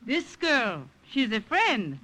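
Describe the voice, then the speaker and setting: Fussing old Italian nonna, Italian accent, doting, scolding, very sharp voice, animated, vintage radio drama. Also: female voice, on the high side, slow, heavily acted. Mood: chiding, earnest. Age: older. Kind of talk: 1940s-50s radio drama acting.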